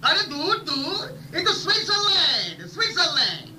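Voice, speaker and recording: An excitable fussy thriller writer. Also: male voice, extremely high pitch, normal speed, over loud music, full character voice, rough recording. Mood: jovial. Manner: theatrical, exclaiming, half-singing jokes. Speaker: comic sidekick. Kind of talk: vintage radio drama acting.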